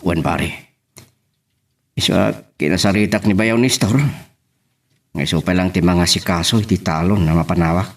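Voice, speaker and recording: A man's voice: low voice, moderate pace, long pauses. A man acting an earnest, burdened husband and father in an Ilocano audio drama. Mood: confiding.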